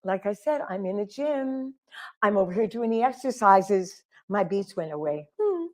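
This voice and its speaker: A middle-aged woman vocal coach talking on a live stream, animated and emphatic, calling her listeners honey. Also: medium pitch, normal speed.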